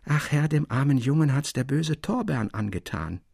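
A man reads as an old, superstitious mine foreman in a literary tale. He murmurs anxiously and confidingly, with a gravelly edge and a dry, close sound. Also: medium pitch, lively intonation, moderate pace, no room sound, pitched above the performer's own voice. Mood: anxious, hushed. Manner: hushed murmuring into the master's ear.

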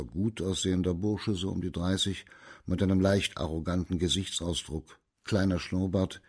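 A man playing a composed, probing judge investigating incognito in a radio drama, measured and authoritative. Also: low-pitched, a little mellow, slightly rough.

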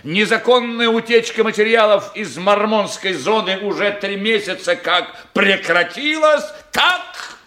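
An actor playing a domineering, sardonic boss who grills a subordinate in clipped, sarcastic phrases, in radio drama style. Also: male voice, high-pitched, lively intonation, unhurried, pushed and bright, heavily acted. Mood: stern summing up. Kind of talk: Russian radio drama acting, circa 1990.

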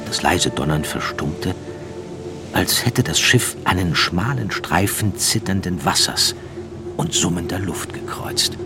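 A man narrating a literary radio play in a measured, deliberate, solemn manner. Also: animated. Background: loud music and low engine rumble.

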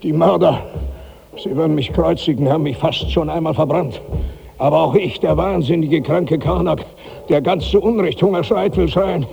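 An actor playing a sick, mad, persecuted outcast in a brooding, accusing monologue from a German 1960s radio drama. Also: male voice, on the high side, gravelly, warm tone. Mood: anguished defiance. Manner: brooding, accusing, halting repetitions. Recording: muffled, noisy old radio recording.

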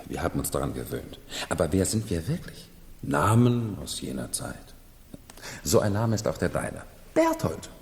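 Deep, creaky, theatrical mock-court retainer. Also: male voice, wildly swooping pitch, moderate pace, darkish tone, exaggerated voice. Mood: explaining, resigned. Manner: theatrical, declamatory, explaining. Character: world-weary hired retainer in a madman's mock imperial court. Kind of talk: 1970s German radio play acting. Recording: reverberant hall, 1970s radio production.

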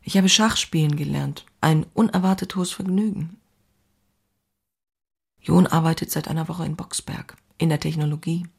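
A woman's voice: low voice, fairly even intonation, moderate pace, creaky, darkish tone, breathy edge, full character voice. An actress playing an outspoken, passionate woman writer who confides in her diary in an intimate, wry way. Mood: intimate, restless. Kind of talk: dramatized diary reading, scripted narration.

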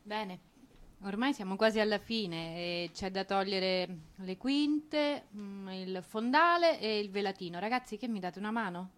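A woman's voice: medium pitch, lively intonation, unhurried, a bit bright. A sardonic theatre narrator lady, mid-range voice, chatty ironic asides to the audience. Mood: wry, conversational. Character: wry, satirical female stage narrator clearing a theatre. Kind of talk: theatrical monologue addressed to the audience.

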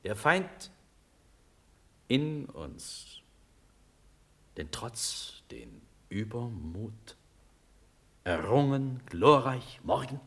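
An actor playing a young, nobly resolute prince in a classical radio drama, declaiming solemnly in measured verse. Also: male voice, medium pitch, sing-song, a bit bright, breathy edge, full character voice. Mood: solemn, exalted.

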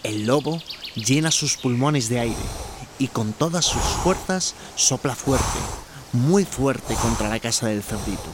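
A man's voice: medium pitch, animated, unhurried, heavy background noise. A man narrating a scripted children's story as the narrator of a radio tale.

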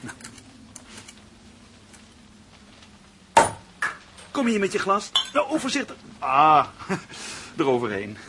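A cold, calculating male villain in a radio drama. His delivery swings widely in pitch, theatrical and deliberate, taunting with mock sweetness. The recording is old and slightly noisy. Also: sharp tone, full character voice. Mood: mock cheerful. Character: cold, calculating man gloatingly tormenting his partner. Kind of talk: Dutch radio play acting.

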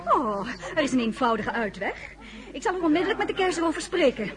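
A woman playing a gracious high-born lady at an imperial court in a radio drama, polite, formal and a little halting. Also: very animated. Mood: concerned, composed. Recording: murmuring crowd behind.

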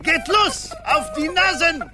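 Pompous french earwig napoleon, really high voice, slow grand declaiming, radio play w music. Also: male voice. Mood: rousing. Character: pompous tiny earwig general who believes he is Napoleon. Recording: music behind the voice.

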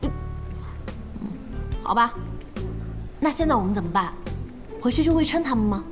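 Young female gamer played by an actress, high and very animated, nervy and excitable, faint music underneath. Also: moderate pace, close to an everyday voice. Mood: curious, impressed. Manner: excitable, expressive, wide-eyed. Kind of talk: Chinese audio drama acting.

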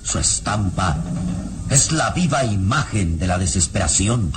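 A man narrating a vintage radio serial in a solemn, deliberate, theatrical style. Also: sits low, animated. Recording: old radio serial with loud music bed.

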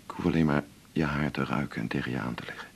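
An actor playing a lonely, teasing, self-mocking doctor in a naturalistic radio drama, talking in a wry, confiding way. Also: male voice, rumbling low, quick pace, gravelly, a little hiss or hum. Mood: quiet, intimate. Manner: wry, confiding, short clipped phrases.

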